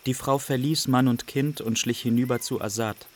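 A man's voice: medium pitch, fairly even intonation, moderate pace, slight background noise, close to an everyday voice. An actor playing a young refugee who recounts his journey in plain, observant radio drama narration. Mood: sober recounting. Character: young male refugee recounting smugglers and border crossings.

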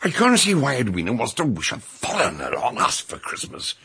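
Raspy, blustering old grumbler. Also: male voice, mid-range voice, wildly swooping pitch, fairly quick, pushed and bright, exaggerated voice. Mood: indignant. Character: grumpy old English country husband. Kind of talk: radio drama acting.

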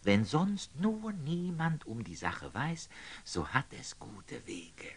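An actor playing a humble, kindly old lay brother in a radio play, husky and gentle, speaking classical verse haltingly. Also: male voice, mid-range voice, huge pitch swings, exaggerated voice. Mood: cautious, earnest.

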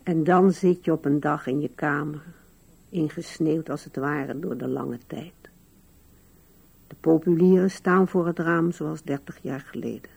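Gravelly, slow old widow. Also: female voice, deep voice, darkish tone, full character voice. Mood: wistful, resigned. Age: older. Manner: slow, musing, wistful. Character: old widow musing tenderly at her husband's grave. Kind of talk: radio drama acting, reflective monologue.

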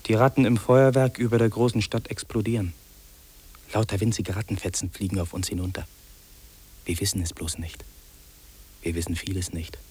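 An actor playing a lonely, fearful city man in a 1950s German radio drama, speaking in solemn, deliberate, incantatory phrases. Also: lowish voice, fairly even intonation, darkish tone, breathy edge. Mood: ominous. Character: lonely, fearful, brooding city man listing what he hears. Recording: old radio play on cassette tape.

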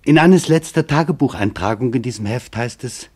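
An actor playing a grave, measured chronicler, narrating a German radio drama in a solemn, deliberate way. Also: male voice, medium pitch, animated, darkish tone, slightly rough. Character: grave, measured male chronicler reporting witness testimony.

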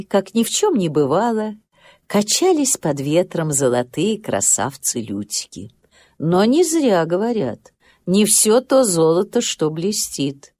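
A woman storyteller narrating a scripted children's nature tale in an expressive, dramatic way. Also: low voice. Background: music.

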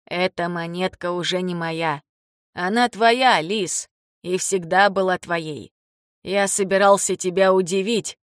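A woman voicing an ailing older father in an audiobook, blunt, teasing and fond, speaking slowly and haltingly. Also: on the low side, no room sound, clean studio sound, lightly acted. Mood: firm, fond. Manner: slow, deliberate, halting for words. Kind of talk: audiobook character dialogue.

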